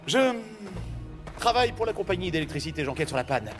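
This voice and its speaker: A man's voice: fairly high pitch, sing-song, brisk, over music. A man voicing an arrogant, theatrical mad-genius doctor in a film dub, sneering and menacing with pompous, mocking speech. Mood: falsely polite. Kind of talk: French film fan dub acting.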